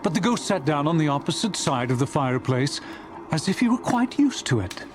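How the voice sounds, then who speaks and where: A man narrating a dramatized classic story in a theatrical, suspenseful, deliberate way. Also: a little high, very animated, noisy background.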